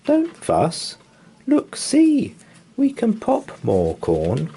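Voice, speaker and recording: A man voicing a tiny, fussy male mouse who squeaks in a children's storybook reading. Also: wildly swooping pitch, extremely slow, vocal fry, warm tone, husky, full character voice. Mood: fussy, soothing. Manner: squeaky, fussing.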